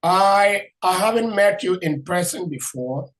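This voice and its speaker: A man hosting an online devotional talk, hoarse from losing his voice, welcoming a speaker politely with many hesitations. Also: sits high, animated, measured pace, fairly bright tone. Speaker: host. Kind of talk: formal welcome and introduction.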